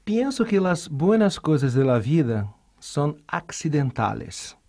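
A man's voice: fairly high pitch, sing-song, slow, a little mellow. A man from Rio de Janeiro, Brazil, whose first language is Portuguese, speaking with a carioca accent as a professor guest in an interview, thoughtful and hesitant with fillers. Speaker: university art history professor.

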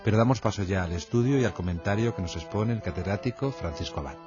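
Male program announcer, lowish voice, formal scripted read over music. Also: quick pace. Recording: dull lo-fi radio recording. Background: loud music.

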